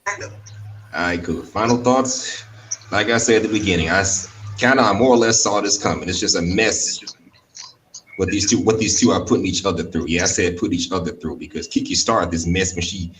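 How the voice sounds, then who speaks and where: A man's voice: low-pitched, brisk, a bit bright, slight background noise. A man giving a critical, colloquial opinion monologue as a panel guest. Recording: distant from the mic.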